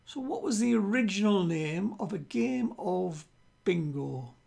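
Gravelly older British quiz host, a man. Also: sits high, expressive, darkish tone. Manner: deliberate, repeats himself. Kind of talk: reading quiz questions to camera. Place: small room at home with bookshelves.

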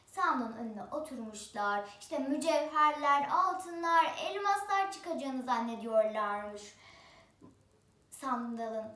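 A female storyteller with a very high, youthful voice tells a folk tale. She is theatrical, stretching words for effect. The recording is roomy and echoing, picked up some way from the mic. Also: a bit bright, recorded from a distance. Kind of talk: oral folk-tale storytelling with rhyming opening. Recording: roomy echo, away from the mic.

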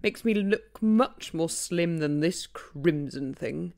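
A woman's voice: on the low side, takes it slowly, gravelly, a little mellow. A woman voicing a vain, fussy male robin who complains about his looks, in a storybook poem.

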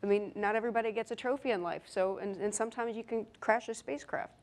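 A woman's voice: a little high, fairly even intonation, fast talker. Earnest woman engineer on a panel. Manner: earnest, with many repeated words and light humor. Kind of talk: panel discussion. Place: stage of a conference panel. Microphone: lapel mic.

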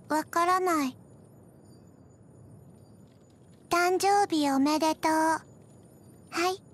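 Slow, high-voiced, deadpan undead little girl. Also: exaggerated voice. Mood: flat, halting. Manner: halting, deadpan, soft-spoken with frequent pauses. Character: small undead zombie girl, gentle, forgetful, emotionless. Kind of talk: scripted video game character voice lines.

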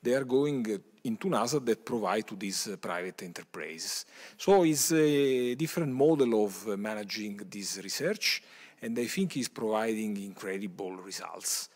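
Low-voiced man answering on a panel. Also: animated, darkish tone. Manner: measured, halting with fillers. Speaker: panelist, space scientist. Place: large lecture hall stage. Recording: long hall reverb.

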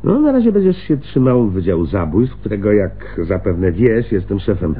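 A man's voice: fairly low pitch, sing-song, normal speed. An actor playing a brusque, sardonic male homicide lieutenant in a radio play, wry and bossy, drawing out words for emphasis. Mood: jovial, bossy. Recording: muffled, phone-like radio recording with hiss.

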